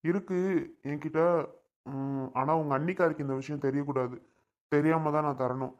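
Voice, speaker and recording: A man's voice: a little high, fairly even intonation, normal speed, natural voice. A man playing a harried brother in a colloquial family drama about everyday troubles. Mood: uneasy, confiding. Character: harried adult brother in a family money dispute.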